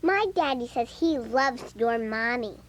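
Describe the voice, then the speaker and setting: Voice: medium pitch, a little slow, lightly acted. A performer playing a chatty, candid five-year-old girl in an audio drama, childlike and eager. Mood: curious, candid.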